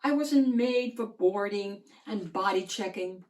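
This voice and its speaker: A woman's voice: medium pitch, unhurried, darkish tone, natural voice. A woman voicing an earnest, determined little boy in a children's storybook, speaking insistently.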